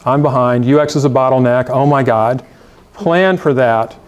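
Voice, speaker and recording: A man's voice: mid-range voice, normal speed, clear, resonant tone. A man giving a conference talk on design to an audience, emphatic and urging, with some fillers. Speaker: conference speaker. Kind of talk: conference presentation. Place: conference room.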